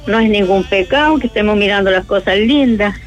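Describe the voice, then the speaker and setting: A woman's voice: mid-range voice, a little fast, faint music behind. A woman with a Chilean accent calling into a radio show by phone, chatting cheerfully and with devotion, sending greetings. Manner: cheerful, chatty, devout, giggly. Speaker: radio caller. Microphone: phone line.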